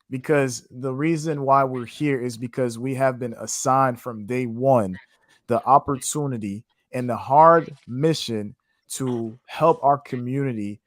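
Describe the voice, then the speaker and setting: A man's voice: mid-range voice, no room sound. A man from Haiti, a trading educator, giving a passionate, preacher-like interview answer in a casual way. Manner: passionate, preacher-like, faith-filled phrasing; casual, with "man" and "you know what I'm saying".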